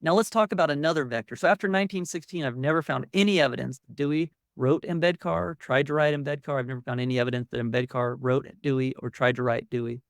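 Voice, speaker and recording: A man's voice: medium pitch, fairly even intonation, fast, vocal fry, hardly any pauses, no room sound, studio quality. A man lecturing to the public as an academic, explaining in a conversational way and leaning on key words for emphasis.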